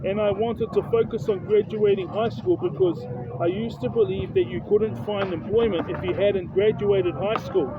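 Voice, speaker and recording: Kiwi guy late 30s, earnest self-help talk, keeps repeating himself, loud traffic behind. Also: high-pitched, fairly even intonation, moderate pace, few pauses. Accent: New Zealand. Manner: earnest, repeats and restarts phrases. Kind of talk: personal motivational monologue. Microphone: phone held close. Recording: tinny phone audio, loud street traffic. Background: cars and street noise.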